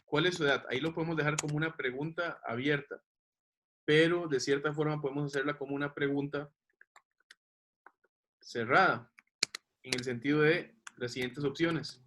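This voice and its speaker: A man's voice: on the high side, fairly even intonation, moderate pace, long pauses, a bit bright, a little hiss or hum. A man teaching a class, explaining patiently and checking that the students follow. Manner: patient, checks understanding with questions.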